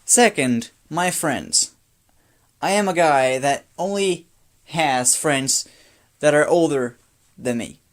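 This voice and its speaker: A man's voice: on the high side, animated, slow, pauses often. A sixteen-year-old boy from Brazil with a light Brazilian Portuguese accent, a native Portuguese speaker talking off the cuff to his phone camera, sounding tired and rambling with many restarts. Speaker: vlogger. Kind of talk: off-the-cuff personal talk to camera.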